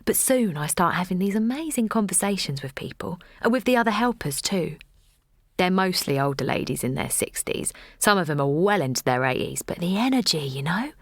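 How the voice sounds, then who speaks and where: Linda, a bubbly mum. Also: female voice, on the low side, big pitch swings, fairly quick, dry recording, studio quality. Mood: warm. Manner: chatty, bubbly, laughing often. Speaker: narrator and lead character. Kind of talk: audio drama monologue.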